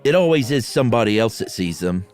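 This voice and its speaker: A man voicing a stuffy, sceptical English gentleman, slow and emphatic, with a put-on British accent. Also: mid-range voice, lively intonation, soft background music, natural voice. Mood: stubborn, haughty. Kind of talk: amateur dramatic reading.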